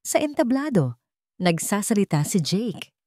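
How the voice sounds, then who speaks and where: A woman narrating a scripted love story in a dramatic, measured storytelling manner. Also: medium pitch, very animated, darkish tone, no room sound, clean studio sound.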